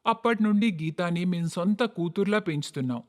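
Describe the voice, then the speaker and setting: Man as a high-pitched, earnest family father. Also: a little fast, natural voice. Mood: earnest, subdued. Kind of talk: animated moral-story dialogue.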